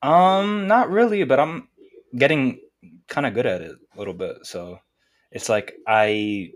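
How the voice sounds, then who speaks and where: A man's voice: medium pitch, unhurried. An eighteen-year-old college student, a man, chatting hesitantly as a podcast guest with many fillers. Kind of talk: casual podcast chat.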